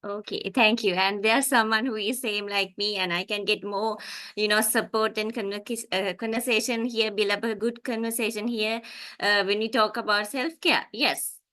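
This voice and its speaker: A woman with a Sri Lankan accent leading an informal online webinar, speaking in a halting, polite way. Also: mid-range voice, steady tone, pushed and bright. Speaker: psychological counselor leading a workshop. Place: online video call.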